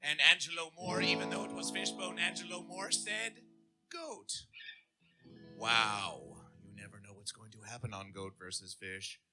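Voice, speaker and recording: A man's voice: fairly high pitch, steady tone, measured pace, bright, forceful tone. A man emceeing a music festival, bellowing comic band introductions full of absurd wordplay. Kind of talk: shouted comic stage introductions.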